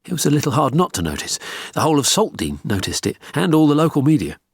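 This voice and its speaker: A man's voice: a little high, big pitch swings, quick pace, husky, no room sound, studio quality, pitched above the performer's own voice, heavily acted. A man in his sixties voicing a breezy, facetious art forger. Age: 60s. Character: breezy, wry sixty-year-old English art forger.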